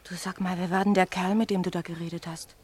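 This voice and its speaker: A woman playing a sharp-eyed, argumentative snack bar worker in a German radio drama, brisk and insistent. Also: on the low side, little pitch movement, husky.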